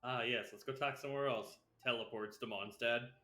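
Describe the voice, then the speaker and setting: A male game streamer with a bright, forceful voice at medium pitch, recorded in a roomy, echoing space. He comments playfully and offhandedly over game ambience. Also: steady tone, brisk, faint music behind. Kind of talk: live gameplay commentary, reading game text aloud. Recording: roomy, echoing stream mic, faint noise.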